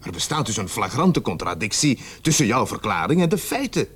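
An actor playing a patient but firm police officer in a radio drama, reasoning in a measured, formal and admonishing way. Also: male voice, fairly low pitch, big pitch swings, fairly bright tone, slightly rough, exaggerated voice.

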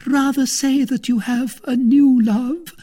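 A man's voice: very high voice, steady tone, slow, mellow, pitched above the performer's own voice, exaggerated voice. A man voicing a lovesick, jealous, tight-fisted married woman in a storybook, pleading and indignant in turn. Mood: anguished. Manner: pleading, indignant, emotionally overwrought.

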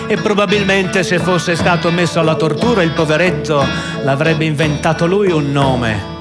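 A man narrating radio theatre with wry commentary, in an expressive, ironic storytelling manner. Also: a little high, normal speed. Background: music.

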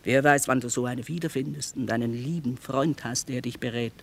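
Tough middle-aged trader mom in a radio drama, blunt haggler, earthy. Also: female voice, very deep voice, a little fast. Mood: blunt, bargaining. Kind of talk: German radio play acting.

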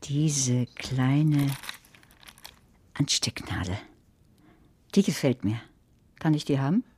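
A woman's voice: rumbling low, expressive, slow, a little mellow, a little hiss or hum, full character voice. An actress playing a cold, greedy woman chasing an inheritance, blunt and impatient. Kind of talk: radio play acting.

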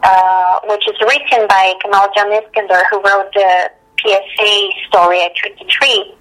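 A woman from Canada, a film director, speaking earnestly in a podcast interview with frequent pauses. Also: medium pitch, steady tone, a bit bright, poor audio. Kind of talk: podcast interview over a call.

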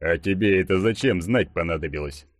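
A man voicing a burly, tipsy ex-soldier in an audiobook, gruff and suspicious, rambling colloquially. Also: deep voice, a little fast, no echo, clean studio sound, lightly acted. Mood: angry, suspicious.

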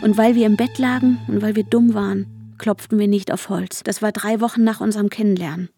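A woman's voice: medium pitch, steady tone, fairly quick, vocal fry, dark tone, breathy edge, no room sound. An actress playing an intense, lovestruck woman, telling her own story intimately and broodingly in a radio drama, at times dropping to a whisper. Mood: confiding. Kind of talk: German radio drama first-person narration. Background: music.

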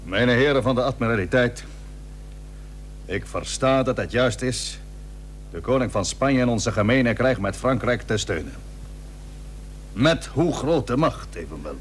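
A man playing an old, proud and plain-spoken Dutch admiral in a historical radio drama, formal, measured and firm. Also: mid-range voice, lively intonation, natural voice. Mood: measured, sceptical. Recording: noisy old radio recording with hum.

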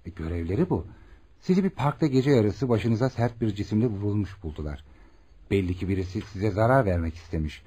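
A courteous male hospital doctor in a radio drama. His voice moves expressively up and down with a slightly rough edge. He questions and explains politely and formally. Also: fairly low pitch, lively intonation, fairly quick, mellow. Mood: reassuring. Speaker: actor. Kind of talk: Turkish radio theatre acting.

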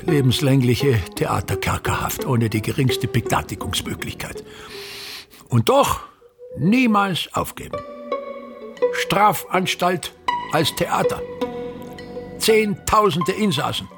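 An actor playing a pompous, embittered aging stage actor in a radio drama, declaiming grandly and with self-pity. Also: male voice, a little high. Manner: grandiloquent, declaiming, self-pitying, repeats phrases. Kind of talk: theatrical German-language radio drama monologue.

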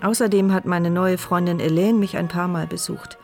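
An actress playing a paralysed young woman who narrates her inner thoughts in a measured, reflective way. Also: fairly low pitch, steady tone, soft background music, lightly acted. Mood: hopeful.

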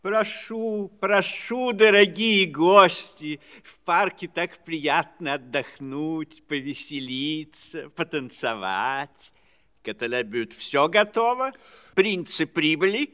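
A man playing a stately king, measured and regal, declaiming in a theatrical fairy-tale audio play. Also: on the high side, animated, bright, forceful tone, clear, resonant tone, exaggerated voice. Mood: gracious. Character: stately king, commanding yet gracious host at court.

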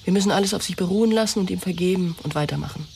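A woman's voice: on the low side, very fast, gravelly, darkish tone, far from the mic, a little hiss or hum. An actress in a German radio drama playing a wounded young woman, pleading and confessing with insistent emotion. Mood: pleading, defiant.

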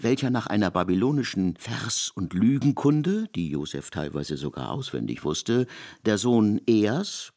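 A male narrator reads literary prose with a lower-set voice and a creaky grain. His delivery is measured yet highly animated in intonation, shaping long sentences expressively.

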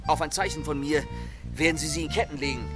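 A man playing a suave, mocking gentleman thief in a German radio play, with a theatrical, commanding manner. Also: fairly high pitch, quick pace, over music, lightly acted. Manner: theatrical, urbane, playfully threatening.

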